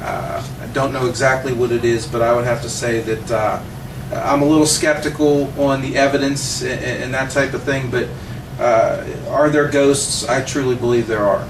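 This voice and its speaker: An American man in his early forties talks casually to a camera, with an average-pitched voice. He sounds conversational and unscripted. The recording is roomy and picked up from a distance. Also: fairly even intonation, normal speed. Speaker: paranormal investigator. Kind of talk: casual spoken self-introduction to camera. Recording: echoey room, distant mic, faint noise.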